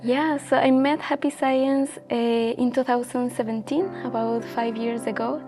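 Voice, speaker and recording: A woman's voice: fairly high pitch, fairly even intonation, a little slow, a little mellow, faint music behind. A woman in her twenties from Ecuador, speaking as a guest sharing her personal story in an earnest, reflective way with many fillers. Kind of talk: personal testimony in a casual chat.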